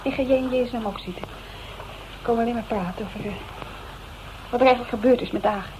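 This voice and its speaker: A woman's voice: fairly high pitch, fast, dark tone, natural voice. An actress with a Netherlands Dutch accent playing a plain-spoken, familiar woman in a radio drama. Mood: serious, subdued. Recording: muffled, noisy radio recording.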